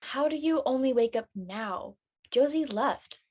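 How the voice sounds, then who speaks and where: High-voiced, anxious studious teen girl acted by a woman. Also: animated, a little slow, a little mellow. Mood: concerned. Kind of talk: online dramatic table read.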